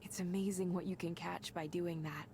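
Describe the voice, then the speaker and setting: A friendly, earnest woman manager played by a game actress, a loyal company type who is easily impressed. Her voice sits a little low, and she chats in a reassuring way over some background noise. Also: steady tone, normal speed, a little mellow, close to an everyday voice. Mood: curious. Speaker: manager of counseling centers. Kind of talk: video game voice acting.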